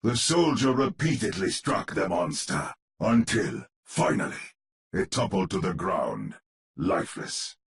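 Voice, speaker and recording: Spooky storybook narrator for a horror game, super deep n slow, grave and dramatic. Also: male voice, big pitch swings, gravelly, heavily acted. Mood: ominous, dramatic. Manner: theatrical, measured, ominous. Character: grave old-world storyteller narrating a gothic horror tale.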